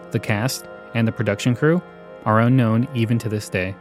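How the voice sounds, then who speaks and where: A man's voice: medium pitch, darkish tone. A man giving a scripted introduction as a podcast host. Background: faint music.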